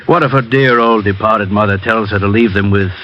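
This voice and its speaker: An actor playing a smooth con man posing as a doctor, soothing and sly. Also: on the low side, brisk, slight background noise, close to an everyday voice. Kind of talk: 1940s radio acting. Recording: muffled old radio broadcast with music.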